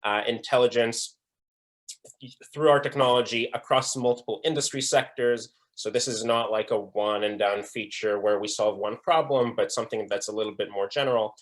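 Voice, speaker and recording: A man's voice: lowish voice, steady tone, moderate pace. A young man from Israel giving a presentation at an online breakout session, earnest, with frequent fillers and restarts. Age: young adult. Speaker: conference speaker. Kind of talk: presentation talk.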